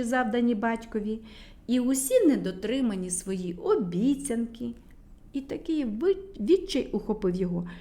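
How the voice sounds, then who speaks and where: A Ukrainian-accented woman reads a children's fairy tale aloud. Her fairly high voice rises and falls in a lively, expressive way. She keeps a warm storyteller's pace.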